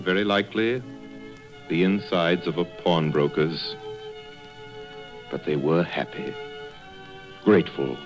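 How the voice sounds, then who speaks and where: A solemn storybook narrator of a Victorian ghost tale for radio. The voice is very low, with measured, declamatory literary phrasing over clear background music. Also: male voice, low-quality recording. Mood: reflective. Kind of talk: scripted radio drama narration.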